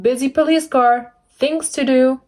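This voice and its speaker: A woman's voice: high voice, steady tone, measured pace, darkish tone, voice pushed up. A woman voicing a busy police car in a picture book, wailing like its siren and speaking in clipped, urgent phrases.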